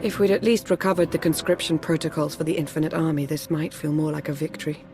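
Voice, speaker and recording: Composed, resolute agent. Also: female voice, deep voice, steady tone, music in the background. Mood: grave. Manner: formal, measured, serious. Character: composed female Imperial fugitive agent, resolute. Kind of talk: video game cutscene acting.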